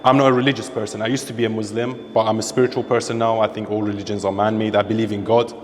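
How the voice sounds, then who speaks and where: A man's voice: on the low side, flat delivery, racing, long reverb tail, slight background noise. A man from Iran, interviewed on a podcast, who speaks emphatically and repeats his points for stress. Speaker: interviewee, social media creator. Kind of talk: opinionated conversation.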